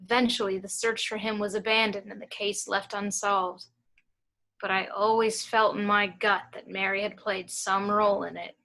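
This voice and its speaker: A woman playing a haunted storyteller, grave and hushed, recalling a sinister childhood in a table read. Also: medium pitch, little pitch movement, moderate pace. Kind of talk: dramatic monologue in amateur table read.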